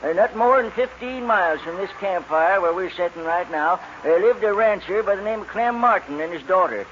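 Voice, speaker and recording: Old-time radio western role: aging campfire yarn-spinner, folksy and halting, fairly high male voice, muffled vintage recording. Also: expressive. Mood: folksy, easygoing. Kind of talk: 1940s radio western acting. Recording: dull, lightly noisy 1940s radio recording.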